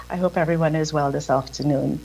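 A middle-aged woman with a Trinidadian accent presents over a video call, her voice somewhat low and husky. She speaks in a measured, careful way, slowing herself down on purpose. The sound comes from a laptop call played into a meeting room. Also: darkish tone, low-quality recording. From Trinidad and Tobago. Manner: measured, deliberately slowed delivery. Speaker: insurance presenter. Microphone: video call on laptop, played through room speakers.

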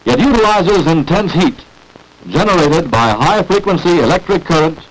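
Lively 1940s American film narrator, man. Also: on the high side, moderate pace, poor audio. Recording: old 1940s film soundtrack.

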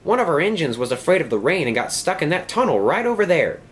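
Chirpy twin engine, playful, high bouncy voice, man voicing. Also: big pitch swings, quick pace.

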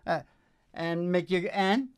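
An older man chatting casually and haltingly into a stage microphone, rambling and musing as he interrupts himself. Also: sits high, clean tone, no echo, clean studio sound. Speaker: musician hosting a live show. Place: small indoor stage with curtain. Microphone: stage microphone on a stand, close up. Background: acoustic guitar.

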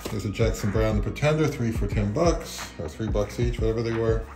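A man's voice: fairly low pitch, fairly quick, music in the background. A man in his fifties talking casually and offhandedly, like a host of a home product review vlog. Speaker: channel host, record collector. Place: indoors at home, living room and kitchen.

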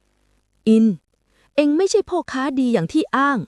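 A woman voicing a stern village headman, loud, clear and commanding, in a storybook tale. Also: sits high, sing-song, unhurried, no room sound, clean studio sound.

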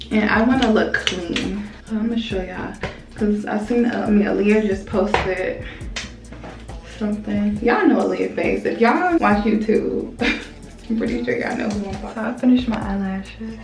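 A woman's voice: mid-range voice, gravelly. A woman in her late twenties chatting casually to her vlog camera while doing her hair, drawling and talking to viewers as y'all. She is a little under the weather. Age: 20s. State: a little sick. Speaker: vlogger. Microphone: small camera on tripod. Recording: roomy echo, mic at a distance, music behind.

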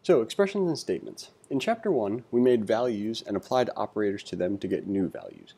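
A man giving a read-along coding tutorial, reading aloud and thinking out loud with informal asides. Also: fairly low pitch, sing-song, a little fast, creaky, slightly rough. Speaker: instructor.

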